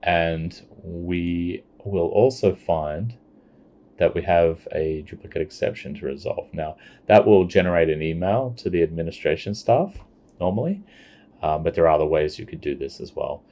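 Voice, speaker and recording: A man giving a plain, step-by-step screencast walkthrough of software. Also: low voice. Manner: plain step-by-step explaining, unhurried. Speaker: software demonstrator. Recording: dull lo-fi recording.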